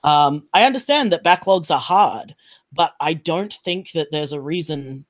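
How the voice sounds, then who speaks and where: Emphatic panelist with a mid-pitched voice. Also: animated, measured pace. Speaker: podcast panelist. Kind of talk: casual panel discussion.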